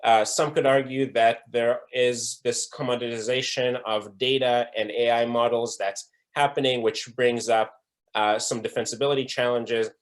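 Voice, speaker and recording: Young man from Israel, mid-pitched, earnest conference presenter with ums and restarts. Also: steady tone, normal speed. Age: young adult. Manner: earnest, with frequent um fillers and restarts. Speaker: conference speaker. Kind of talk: presentation talk. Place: online breakout session.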